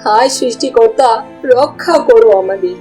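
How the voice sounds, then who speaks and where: A woman's voice: fairly high pitch, normal speed, dark tone, faint music behind, natural voice. A woman voicing a worried, pious village farmer's wife in a melodramatic cartoon, with a plaintive, pleading delivery. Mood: anxious, pleading.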